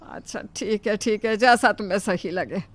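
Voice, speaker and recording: A woman's voice: mid-range voice, normal speed, pitched below the performer's own voice, natural voice. A woman voicing a worried, nagging mother-in-law who scolds and frets protectively in a cartoon moral story. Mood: resigned, soft. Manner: scolding, exclaiming, fussing.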